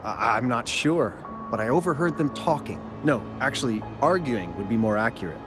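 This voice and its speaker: An actor playing a friendly, excitable miner who chattily recounts what he witnessed in a video game. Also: mid-range voice, normal speed, close to an everyday voice. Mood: nervous, worried. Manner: animated, chatty, hesitant in places. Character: friendly excitable male miner, eager eyewitness. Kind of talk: video game story dialogue. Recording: music clearly behind the voice.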